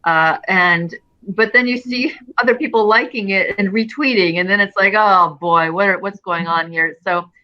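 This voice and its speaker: A middle-aged woman talking as an interview guest in an earnest, expressive way, often restarting her phrases. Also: medium pitch, moderate pace, highs cut off. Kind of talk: casual interview conversation.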